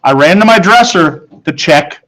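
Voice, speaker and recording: A man's voice: on the high side, lively intonation, moderate pace, a bit bright. A man reading a children's story aloud as a first-person narrator.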